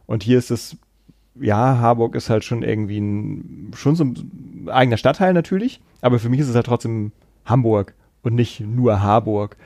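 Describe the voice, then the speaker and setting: A man's voice: mid-range voice, normal speed, darkish tone, dry recording, clean studio sound. A man from Kiel in northern Germany chatting casually as the host of a conversation. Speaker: podcast host. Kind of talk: casual chat.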